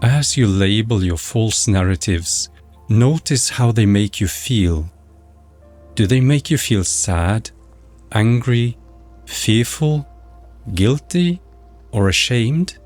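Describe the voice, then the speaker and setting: A man narrating a guided meditation in a measured, instructional, scripted way. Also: fairly low pitch, animated, darkish tone. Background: background music.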